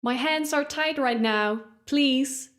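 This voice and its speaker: A woman's voice: high-pitched, slow pace, a bit bright. A woman voicing a busy mother in a manga dub, giving motherly instructions. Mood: nagging. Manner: directive, motherly.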